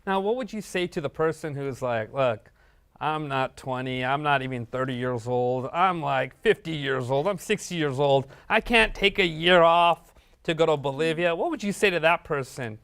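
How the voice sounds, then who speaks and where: A man's voice: on the high side, normal speed, a bit bright, smooth, dry recording. Guy hosting, encouraging and affirming, dry close sound. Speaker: host. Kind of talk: interview hosting.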